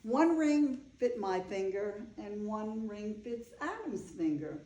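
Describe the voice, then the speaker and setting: Older bride played by a woman for a stage reading: wry, wistful, frank, unhurried delivery, roomy echo. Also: mid-range voice, big pitch swings, mellow, highs cut off. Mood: wry storytelling. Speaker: actor. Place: echoey room, live staged reading. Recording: echoey room recording.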